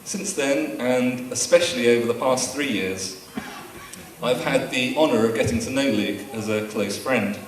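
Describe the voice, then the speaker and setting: A man's voice: medium pitch, normal speed, a little hiss or hum. A man giving a best man's speech at a wedding, with dry, teasing humour read from his prepared notes. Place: wedding reception room. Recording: echoey room taped from far off.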